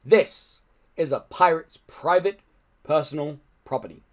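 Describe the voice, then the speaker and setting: A man's voice: a little high, voice pushed up, close to an everyday voice. Man declaiming a grand pirate's warning. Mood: grave warning. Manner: slow, declaiming, emphatic.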